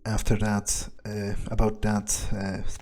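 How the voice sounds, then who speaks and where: A man playing a low, mellow, brooding vampire. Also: completely flat delivery, slow, slight background noise. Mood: brooding, matter-of-fact. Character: brooding male vampire, thinking aloud, casually ruthless. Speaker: let's player role-playing in character. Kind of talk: in-character gameplay narration. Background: game music.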